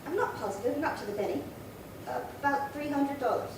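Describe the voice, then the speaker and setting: A woman's voice: high-pitched, brisk. A woman acting a prim, chatty, indignant old lady in her late seventies in a 1950s-style radio crime drama, fussy and rambling. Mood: chatty, flustered. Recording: very echoey, distant, noisy room.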